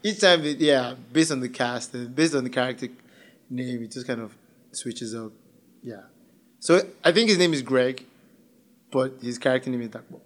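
A man talks casually as a podcast co-host. His pitch is average for a man, and his wording is loose, slangy and conversational. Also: moderate pace, long pauses.

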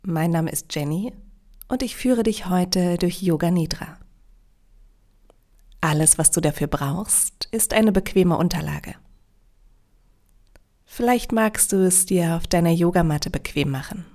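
A woman leading a guided yoga nidra relaxation in a calm, soothing, measured way with long pauses. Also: on the low side.

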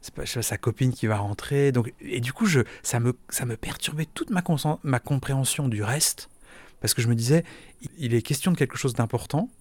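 A man's voice: mid-range voice, a little fast, darkish tone, no room sound. A man telling a personal recollection in a casual, hesitant way, often restarting and repeating words. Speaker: interviewee.

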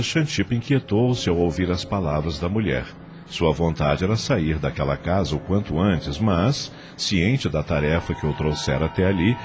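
A man narrating a radio soap in a dramatic, scripted storytelling style. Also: sits low. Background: music.

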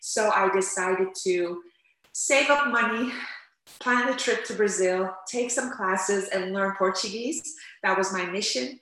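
A woman's voice: mid-range voice, unhurried. Woman guest in an echoey room. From Turkey, raised in the Netherlands. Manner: animated storytelling with frequent fillers. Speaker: interpreter and language coach guest. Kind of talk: conversational interview answers.

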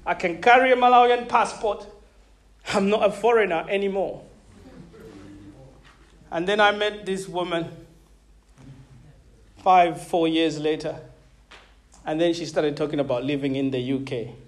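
High-voiced emphatic preaching man. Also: unhurried, pauses often. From Zambia. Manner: emphatic, calls out to the congregation; repeats words, laughs between points. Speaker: pastor. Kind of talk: sermon.

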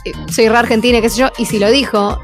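An Argentine woman with a Rioplatense Spanish accent co-hosts a casual radio panel. Her voice sits fairly high, and she talks in a relaxed, chatty way, with light music playing faintly behind her. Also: fast talker. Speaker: radio co-host.

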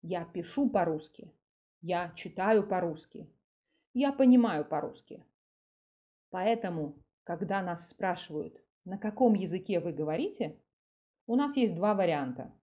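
A woman's voice: lowish voice, animated, measured pace, lo-fi sound. A woman hosting a language lesson podcast, speaking didactically in separated phrases with repeated examples. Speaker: podcast host, Russian language teacher. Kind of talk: scripted language lesson for learners.

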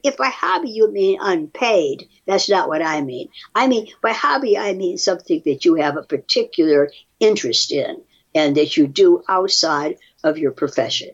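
A woman with a husky voice chatting casually as a podcast guest, musing aloud with firm opinions and rhetorical questions. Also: on the low side, lo-fi sound. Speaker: podcast guest reacting to research studies. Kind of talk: casual conversational commentary.

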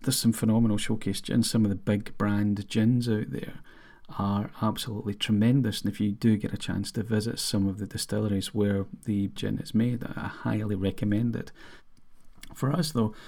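A middle-aged man with a Scottish accent, hosting a podcast monologue in an earnest, measured way. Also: fairly low pitch, level tone, creaky, a little mellow. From Scotland. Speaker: podcast host.

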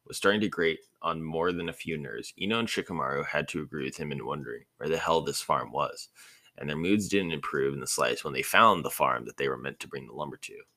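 A man's voice: rumbling low, fast talker, pushed and bright, dry recording. A man reading a story aloud as scripted narration, stumbling over words now and then and rereading phrases. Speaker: reader of a fan fiction audiobook.

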